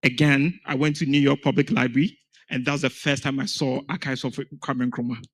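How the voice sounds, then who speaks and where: A man from Ghana speaks as a guest on a conference panel. He tells his story earnestly and presses his points with emphasis. His voice sits at an ordinary middle pitch. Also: steady tone, brisk. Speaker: panel guest, founder of an education organization. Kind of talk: panel conversation.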